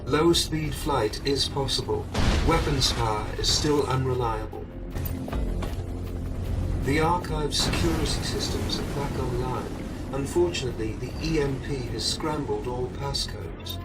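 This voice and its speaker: Man as a formal AI butler, loud music behind. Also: medium pitch, close to an everyday voice. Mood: composed. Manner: formal, measured, matter-of-fact. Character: polite, composed male AI butler of superhero's suit. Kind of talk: video game voice acting. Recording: distant voice under loud music.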